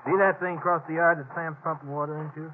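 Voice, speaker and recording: An actor playing an earnest, easygoing young stagecoach station hand in a 1950s radio western, polite and folksy. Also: male voice, on the high side, steady tone, fast talker, close to an everyday voice. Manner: folksy, polite, drawn into explanations. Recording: tinny, hissy 1950s radio recording.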